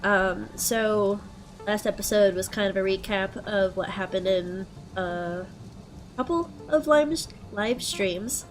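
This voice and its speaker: Woman, young adult, stuffy head cold, casual game commentary, unhurried, lots of ums, faint music underneath. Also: mid-range voice. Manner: relaxed, thinking aloud with frequent ums. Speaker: let's play host.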